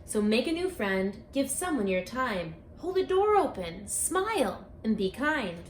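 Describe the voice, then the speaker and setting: A woman reading a rhyming picture book aloud for children, speaking expressively and deliberately, straight to her young listeners. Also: a little high, very animated. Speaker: children's book author and read-aloud presenter. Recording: echoey room with faint background noise.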